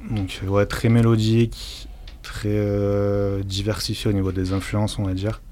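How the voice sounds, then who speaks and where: A man's voice: on the low side, level tone, unhurried, dark tone, a little hiss or hum. A young man answering as a guest rapper in casual, laid-back, slangy interview chat.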